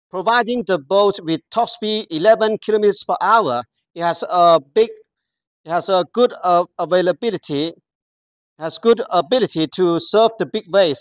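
A presenter gives a product walkthrough in careful, halting, non-native English, pausing often to find words. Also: mid-range voice, no echo. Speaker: product demonstrator.

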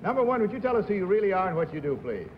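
A man hosting a 1950s television game show, genial and brisk, heard in muffled old broadcast audio. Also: high voice, big pitch swings, noisy background. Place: TV studio.

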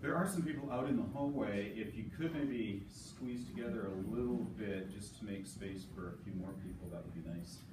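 A man with a lowish voice hosts an academic session and introduces it informally. He talks haltingly and often corrects himself. He sounds far from the microphone in a very roomy space. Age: middle-aged. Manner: hesitant, halting, self-correcting. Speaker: presiding host of a scholarly session, New Testament scholar. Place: conference session room, recorded from a distance. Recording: echoey room, distant mic, loud background noise.

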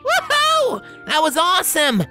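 Performer voicing a high, animated girlish cartoon pony. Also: measured pace, soft background music, heavily acted. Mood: excited. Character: excitable young cartoon pony fillies and mares. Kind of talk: acted fan fiction reading.

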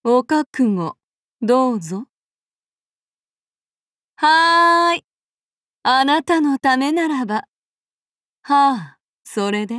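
Slow, high-voiced, courteous, menacing avenger demigoddess. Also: female voice, lively intonation, fairly bright tone, no echo, studio quality, exaggerated voice. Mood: cold, lethal. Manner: archaic formal polite speech, slow and deliberate. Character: soft-spoken, courteous, menacing female avenger demigod warrior. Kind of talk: anime mobile game voice lines.

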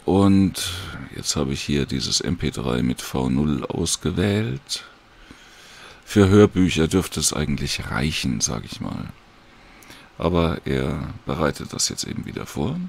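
A man casually and hesitantly talks viewers through a screen recording in an informal, unhurried tutorial. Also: very deep voice, expressive, creaky. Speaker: audiobook creator giving a download tutorial.

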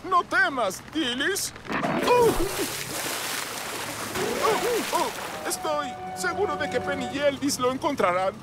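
An actor playing a timid, courteous older man in a Latin American Spanish cartoon dub, hesitant and halting. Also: very high voice, very animated, heavy background noise, full character voice. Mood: anxious, gallant. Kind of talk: animated children's cartoon dub. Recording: music behind the voice.

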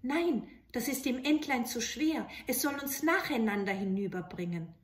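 A woman's voice: a little high, lively intonation, moderate pace, roomy sound, a little hiss or hum, voice pushed up, natural voice. A woman voicing a plucky, cunning young girl in a fairy tale, earnest and pleading, given to exclaiming.

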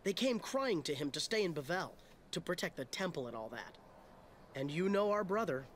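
A man's voice: sits high, big pitch swings, normal speed, slight background noise. An actor playing a stern young male temple guard in a video game. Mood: warning.

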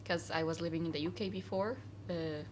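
A woman's voice: low voice, fairly even intonation, brisk, slight background noise. A young woman from Syria, a guest in a casual interview, talking earnestly with many fillers and restarts in a cafe. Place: cafe, indoors.

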